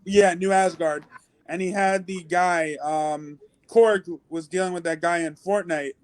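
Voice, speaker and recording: Male guest, high pitch, casual chat, halting delivery, dry sound. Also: a little mellow, dry recording. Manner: halting, stumbles over words. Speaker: guest on review show.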